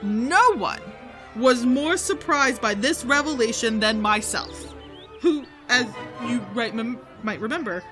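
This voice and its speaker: An actress plays a self-important female podcaster reporting live in an audio drama. Her high voice is animated and earnest, with music behind her. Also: unhurried. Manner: pompous, earnest.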